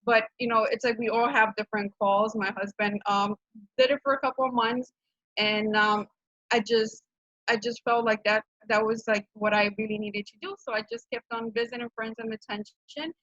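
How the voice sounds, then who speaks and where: Honduran woman on a zoom call, higher bright voice, earnest, lots of ums, muffled dry audio. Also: steady tone, moderate pace, dry recording. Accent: Honduran Spanish. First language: Spanish. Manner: earnest, many fillers and restarts. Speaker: program director of a nonprofit. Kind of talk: casual presentation on a video call. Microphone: video call microphone.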